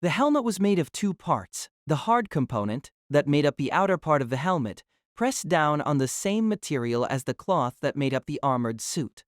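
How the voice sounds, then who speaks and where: A man narrating an audio drama in scripted storytelling style, expressive and engaged. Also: moderate pace, hardly any pauses, dry recording, clean studio sound. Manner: expressive storytelling with lively pitch.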